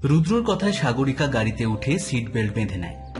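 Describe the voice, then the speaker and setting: A man's voice: medium pitch, very animated, brisk, over music. A man narrating a scripted detective story as an audio drama narrator.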